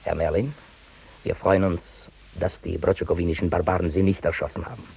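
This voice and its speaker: Gravelly, pompous army major. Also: male voice, deep voice, level tone, fairly quick, darkish tone, exaggerated voice. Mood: pompous, flattering. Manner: pompous, officious, barking orders. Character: pompous, menacing army major of a warring fantasy state. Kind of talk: 1950s German radio play acting. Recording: hissy vintage 1950s radio recording.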